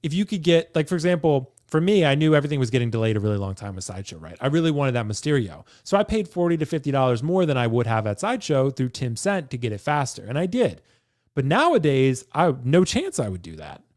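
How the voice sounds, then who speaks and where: Lively, chatty man in his thirties. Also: mid-range voice, fast talker, dry recording, clean studio sound. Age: 30s. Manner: chatty, says "right?" and "like I said" often. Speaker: host. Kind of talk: casual podcast chat.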